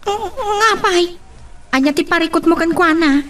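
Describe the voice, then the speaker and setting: A woman's voice: very high-pitched, normal speed, distant mic, a crowd in the background. A woman with a Filipino accent acting an outspoken, concerned friend in a radio drama. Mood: curious.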